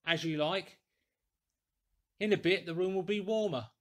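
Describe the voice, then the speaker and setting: Man putting on a high, bustling innkeeper woman. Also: a little slow, fairly bright tone. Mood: deferential. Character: eager, deferential village innkeeper woman, bustling and insistent. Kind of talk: audiobook character acting.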